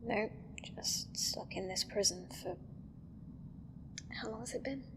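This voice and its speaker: A woman's voice: a little high, a little slow. A woman playing an exhausted prisoner who speaks in a wry, half-whispered way in an audio drama. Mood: weary. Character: weary imprisoned woman, dry humor. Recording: reverberant, noisy ambience.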